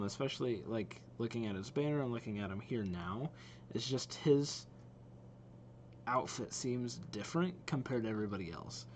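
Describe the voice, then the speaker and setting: A man hosting a reaction video, giving casual commentary as he thinks aloud in a halting way. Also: mid-range voice, a little mellow, slight background noise.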